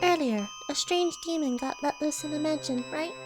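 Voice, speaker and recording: A woman's voice: high-pitched, normal speed, close to an everyday voice. A woman voicing a young-sounding magician who explains things matter-of-factly in an audio drama. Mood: informative. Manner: matter-of-fact. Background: music.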